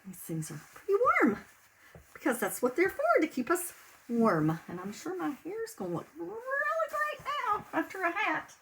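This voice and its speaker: A woman's voice: extremely high pitch, big pitch swings, normal speed, background noise. A woman teacher reading a picture book aloud to young children at home, in a sing-song way, explaining simply and asking them questions. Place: indoors at home.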